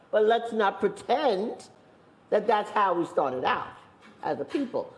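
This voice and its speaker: A woman speaking on a panel, making her points with emphatic repetition and deliberate slow stresses. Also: fairly high pitch, a little mellow. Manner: emphatic, repeats phrases, stresses points slowly. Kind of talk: impassioned panel talk. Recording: echoey room.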